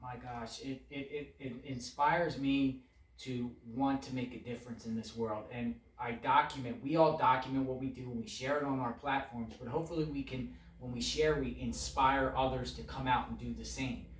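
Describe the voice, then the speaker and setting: A man's voice: medium pitch, fairly even intonation, moderate pace. A man giving earnest, casual interview answers, repeating phrases for emphasis. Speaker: online video creator, interviewee. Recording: echoey, distant mic, faint noise.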